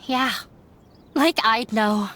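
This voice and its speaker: Slow, high-voiced, downcast young woman. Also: a little hiss or hum, exaggerated voice. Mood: resigned, bitter. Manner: soft-hearted, downcast, confessional. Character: gentle, self-blaming young runaway daughter, resigned and wounded. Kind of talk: video game voice acting.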